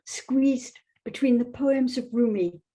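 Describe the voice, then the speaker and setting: A woman's voice: on the high side, takes it slowly, dark tone. A woman, speaking as a guest novelist in thoughtful literary talk and reading. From Scotland.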